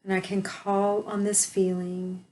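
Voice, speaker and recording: Slow, soothing female meditation guide. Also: mid-range voice, completely flat delivery. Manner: slow, soft and soothing, with long pauses.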